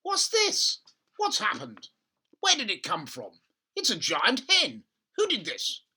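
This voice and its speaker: Male farmer father, acted by a narrator, excitable, emphatic, animated pitch, husky, exclaiming, storybook character. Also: slow, full character voice. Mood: astonished alarm. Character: small excitable farmer father, easily worked up.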